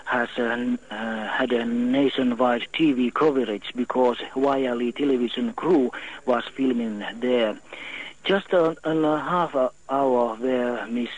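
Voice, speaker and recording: A male radio host interviewing a guest and asking his questions haltingly. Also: medium pitch, husky. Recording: dull lo-fi sound.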